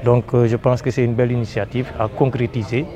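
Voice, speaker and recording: A man's voice: medium pitch, little pitch movement, a little fast, mellow, lo-fi sound. A man speaking as an NGO worker in an explanatory interview testimonial.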